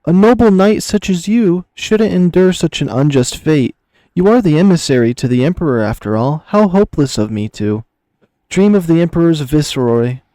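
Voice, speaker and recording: Visual novel lioness leader, played by a man: seductive, coaxing, mocking, theatrically courtly, lifted pitch, close dry sound. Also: lively intonation, normal speed, dark tone, dry recording, natural voice. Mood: teasing, mocking. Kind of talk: visual novel character reading.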